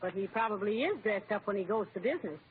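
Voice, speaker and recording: An actress playing a bossy, determined, no-nonsense wife in an old-time radio comedy, brisk and firm in manner. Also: female voice, mid-range voice, lively intonation, lightly acted. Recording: tinny, faintly noisy old radio broadcast.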